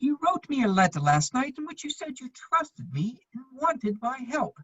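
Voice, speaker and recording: Man playing a husky, animated gentleman bachelor. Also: very high-pitched, normal speed, dry recording, muffled recording, exaggerated voice. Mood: urgent, pleading. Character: witty, loyal, persuasive gentleman bachelor, a son. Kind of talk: theatrical dramatic reading of a period comedy.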